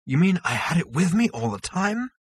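A sullen, hotheaded space rogue played by a male reader talks in indignant slang. The pitch sits raised, and the sci-fi audiobook sound is close and dry. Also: sing-song, moderate pace, slightly rough, dry recording.

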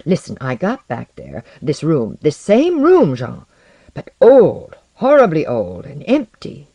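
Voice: medium pitch, sing-song, a little slow, darkish tone, no echo, muffled recording. A narrator voicing an earnest, anxious, modest modern man with a hesitant, plain-spoken and emotional manner. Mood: distraught, relieved.

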